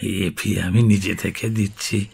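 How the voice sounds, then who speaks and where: A man playing an ailing, courteous older father, weary and halting, in a Bengali audio drama. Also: low voice, steady tone, vocal fry, darkish tone, slightly rough, heavily acted. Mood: weary, polite. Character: ailing older father, feverish, polite male patient.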